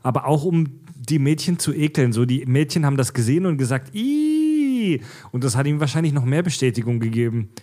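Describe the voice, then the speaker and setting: A man's voice: mid-range voice, animated, brisk, few pauses, warm tone. A man in his thirties, co-hosting a podcast in casual chat, joking around and talking straight to the listeners. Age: 30s. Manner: joking, addresses listeners directly. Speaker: podcast co-host.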